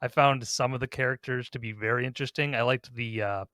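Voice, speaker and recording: A man's voice: mid-range voice, fairly even intonation, fast talker, pushed and bright, dry recording. Casual male podcast host, dry close sound.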